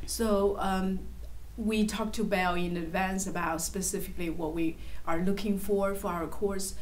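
A woman's voice: mid-range voice, steady tone, unhurried, darkish tone, rough recording. A woman in her thirties from China, an English teacher speaking with enthusiasm and plenty of fillers in a casual group interview. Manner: chatty, enthusiastic, frequent fillers. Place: bright room with large windows, indoors.

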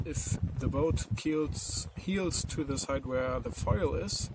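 A young man vlogging outdoors by a harbor into a handheld camera, explaining his model boat slowly and searching for words. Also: mid-range voice. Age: young adult. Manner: slow, searching for words, non-native phrasing. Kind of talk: casual vlog explaining to camera. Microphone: action camera with furry windscreen mic held close. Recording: outdoor wind and traffic noise.

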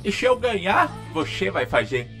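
A man doing a cocky, animated alligator rocker. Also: high voice, moderate pace, music in the background. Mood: cocky, taunting. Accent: Brazilian. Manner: boastful, swaggering, taunting. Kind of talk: cartoon fan-dub voice acting.

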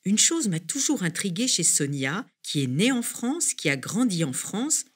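A woman's voice: medium pitch, big pitch swings, unhurried, slightly rough. A woman presenter reading scripted documentary narration in French.

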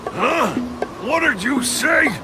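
An actor playing a big, proud, hot-tempered bear in a dubbed cartoon, blustering and whining in a gravelly, indignant voice. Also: male voice, very high voice, expressive, slow, exaggerated voice. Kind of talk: exaggerated dubbed cartoon acting. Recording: loud music over the voice.

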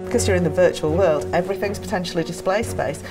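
A middle-aged woman with a lowish voice, explaining a cockpit demo patiently and plainly. Recorded indoors on a clip-on mic, with music clearly running underneath. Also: fast. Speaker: engineer demonstrating a cockpit. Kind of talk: explaining a demonstration. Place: indoor lab with simulator.

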